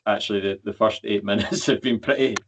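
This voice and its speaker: A man's voice: fairly low pitch, brisk, noisy background. A man hosting a podcast, talking in a laid-back, casual way. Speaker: podcast host. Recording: dull lo-fi sound.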